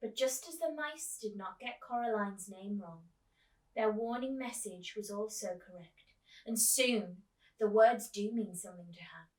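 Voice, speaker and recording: A woman doing an expressive storytime read-aloud, speaking to young listeners as a teacher would. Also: medium pitch, a little slow. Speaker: teacher reading aloud to children. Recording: echoey room, distant mic.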